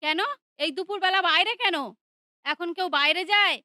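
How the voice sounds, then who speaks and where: A woman's voice: very high-pitched, normal speed, bright, forceful tone, no room sound, clean studio sound, exaggerated voice. A woman voicing a bossy, spiteful mother in a cartoon who scolds sharply. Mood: scolding.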